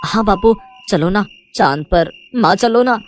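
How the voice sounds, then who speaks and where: A man's voice: high-pitched, animated, measured pace, slight background noise, voice pushed up. A man voicing an eager, pleading young child in a cartoon moral story.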